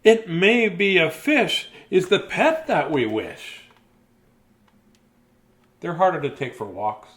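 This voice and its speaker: Animated, high-pitched man reading bedtime stories. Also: slow pace, long pauses. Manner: playful, theatrical, chatty asides. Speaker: storyteller reading children's books. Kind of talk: expressive bedtime story read-aloud with casual asides.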